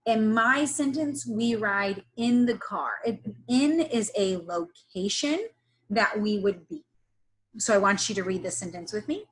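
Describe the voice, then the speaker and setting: A woman teacher leading a reading lesson for young children, instructing in an encouraging way with pauses for them to repeat. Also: medium pitch, expressive, low-quality recording. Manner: instructional, encouraging, pausing for repetition. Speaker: early-grades teacher. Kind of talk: slow read-aloud phonics lesson for young children.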